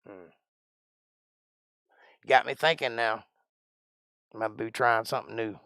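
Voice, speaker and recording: Gravelly rambling man in his forties. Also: lowish voice, fairly even intonation, slow, a bit bright, dry recording. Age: 40s. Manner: rambling, folksy, thinks aloud. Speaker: live stream host. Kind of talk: casual chat answering live chat.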